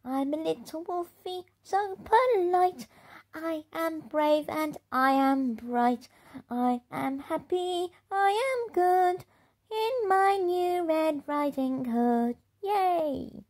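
A high, gentle little wolf cub, earnest and painfully polite, with a lilting voice that rises and falls eagerly, as a woman reading aloud puts him on. He speaks sweetly and primly, a storybook innocent. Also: big pitch swings, a little slow, full character voice. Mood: sing-song cheerful. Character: polite, timid young male wolf cub.

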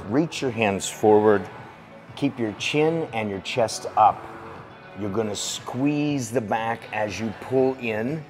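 A man in his forties giving calm, steady step-by-step workout instructions as a voice-over. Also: lowish voice. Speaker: fitness instructor. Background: music.

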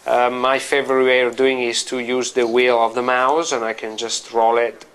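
A middle-aged man with an Italian accent, a native Italian speaker, walking through how to use a software application in an office, explaining in a relaxed way with frequent fillers and restarts. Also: mid-range voice, normal speed, smooth. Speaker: company representative demonstrating software. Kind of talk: product walkthrough.

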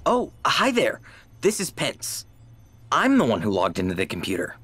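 A man's voice: medium pitch, huge pitch swings, normal speed, fairly bright tone, slightly rough, dry recording, heavily acted. A man playing an easygoing, techy teenage boy in a video game. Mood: hesitant.

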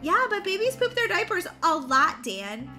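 A woman playing a tired, worried young mother who talks in an anxious, hurried way. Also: extremely high pitch, sing-song, sharp tone, faint music behind, exaggerated voice.

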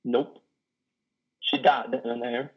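A man in a play reading, acting a worn-out trucker who relives childhood trauma like a frightened boy, halting and pleading. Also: medium pitch, level tone, breathy edge. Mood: haunted, agitated. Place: living room on a sofa. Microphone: headset on a video call. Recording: muffled video-call audio.

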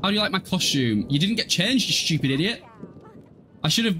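A man's voice: sits high, lively intonation, a little fast, pushed and bright, slight background noise. A young man giving casual, irreverent, sweary commentary while livestreaming a game and chatting with viewers. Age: young adult. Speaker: livestreamer playing a life-simulation game. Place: small room at home, indoors.

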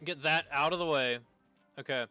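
A man's voice: on the high side, moderate pace, pushed and bright, faint music behind. A man streaming a game with casual live commentary, exclaiming when things go wrong. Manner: reads game lines aloud, exclaims at setbacks.

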